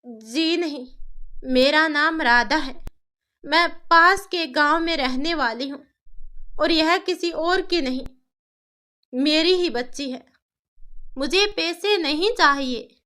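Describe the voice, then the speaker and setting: A woman's voice: high voice, takes it slowly, long pauses, sharp tone, full character voice. A woman voicing a sorrowful but determined young village mother in a cartoon story, earnest and plaintive. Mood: pleading, determined.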